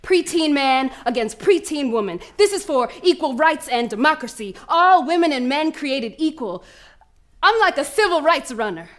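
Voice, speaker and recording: A woman's voice: sits high, expressive, normal speed. A woman playing a fierce, cocky preteen American girl runner in a live theatrical monologue, telling her story in brash, slangy street talk. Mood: defiant, earnest. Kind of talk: first-person theatrical monologue performed live.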